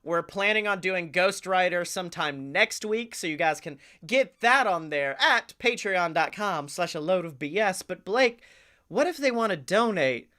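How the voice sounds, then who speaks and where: A man co-hosting a comedy podcast, chatting casually with profane, jokey plugs. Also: sits high. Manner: profane, jokey, animated plugging.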